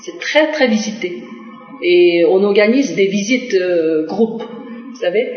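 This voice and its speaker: A middle-aged woman from Korea speaks, answering a TV interviewer as a diplomat. She pauses often, fills gaps with "euh" and restarts her phrases. The sound is roomy and dull, like an old lo-fi broadcast. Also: mid-range voice, expressive, faint music behind. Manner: hesitant, many fillers and restarts.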